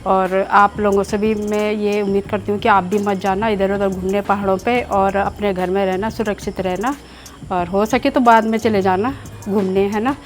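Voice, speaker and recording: A woman's voice: mid-range voice, fairly even intonation, moderate pace, faint music behind. A middle-aged mother from Uttarakhand, chatting casually at home and agreeing often. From Uttarakhand, India. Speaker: vlogger's mother. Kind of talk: casual family chat. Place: village home in the hills.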